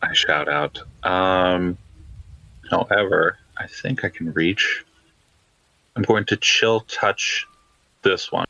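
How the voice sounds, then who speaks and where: A very deep-voiced man with a gravelly edge, talking through his spell choices at a tabletop game. His tone is bright and forceful despite the low pitch. The recording sounds dull and lo-fi. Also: takes it slowly, pauses often, dry recording. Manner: checks spells, hesitant fillers. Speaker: player. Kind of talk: casual tabletop game chat.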